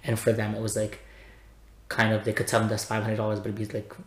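A man's voice: fairly low pitch, completely flat delivery, quick pace. A man in his twenties, a podcast guest, chatting casually with frequent "um"s and laughs. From born in Afghanistan, raised in Pakistan and Canada. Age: 20s. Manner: chatty, laughs often, many "um"s. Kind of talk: casual chat. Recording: echoey room.

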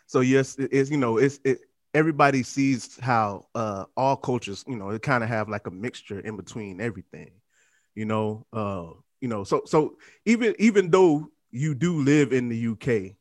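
A man's voice: medium pitch, normal speed, no echo, clean studio sound. A man hosting a podcast, talking in a casual, slangy way and tossing in "man" and "you know" often. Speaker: podcast host. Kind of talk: casual interview chat.

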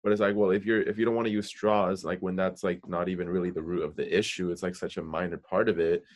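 Deep-voiced hesitant male podcast host. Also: little pitch movement, quick pace. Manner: hesitant, filler-heavy questioning. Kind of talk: casual podcast conversation.

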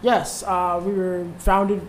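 A man's voice: high-pitched, level tone, takes it slowly, slight background noise, poor audio. A man in his twenties, a band leader, telling stories in a rambling, easygoing way with many fillers. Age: 20s. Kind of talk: casual interview chat.

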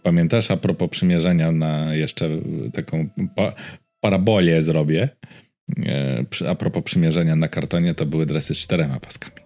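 A man's voice: very deep voice, fairly even intonation, moderate pace, darkish tone. A man co-hosting a podcast, chatting casually and chipping in with short remarks. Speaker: podcast co-host. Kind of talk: casual chat with short interjections.

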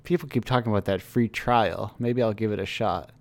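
A man's voice: on the low side, brisk. A young man hosting a podcast, chatting casually over a call and asking loose, rambling questions. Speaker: podcast host.

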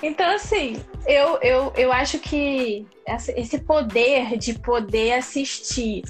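A woman from Brazil chatting as an interview guest, animated and laughing, with many restarts. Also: sits high, slow, soft background music. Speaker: interview guest, English learner. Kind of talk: casual live interview chat.

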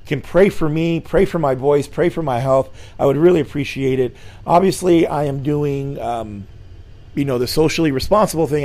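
Slightly hoarse, mellow-voiced middle-aged man. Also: medium pitch. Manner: earnest, with frequent "uh" fillers and restarts. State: slightly hoarse, losing his voice. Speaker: church president hosting a weekly show. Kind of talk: informal sermon-style Bible lesson.

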